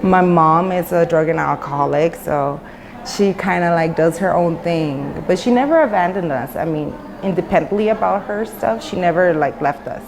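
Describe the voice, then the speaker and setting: A woman's voice: on the low side, moderate pace, noisy background. A woman in her thirties, born in Mexico and raised in the United States, talking openly in an interview with lots of fillers and restarts. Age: 30s. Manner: loose and talkative, many fillers and restarts. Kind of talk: candid personal interview. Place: women's prison in Mexico.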